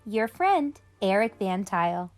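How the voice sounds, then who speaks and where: A woman's voice: mid-range voice, big pitch swings, measured pace. A woman hosting a children's read-aloud, speaking expressively and directly to young listeners.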